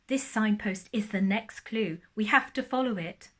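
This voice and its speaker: A woman's voice: medium pitch, measured pace, a bit bright. A woman voicing an earnest, decisive male fox in a children's storybook, musing and announcing his ideas. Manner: musing, then announcing firmly.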